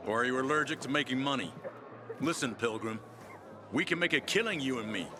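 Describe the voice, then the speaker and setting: A man's voice: medium pitch, lively intonation, a little fast, over music, close to an everyday voice. An actor playing a glib, wheedling male trader with schemes to push, in a video game.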